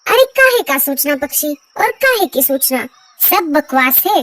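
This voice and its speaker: A woman's voice: high-pitched, sing-song, a little slow, fairly bright tone, distant mic, full character voice. A woman voicing a stubborn, scornful auntie bird who grumbles in a cartoon story. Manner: scornful, complaining.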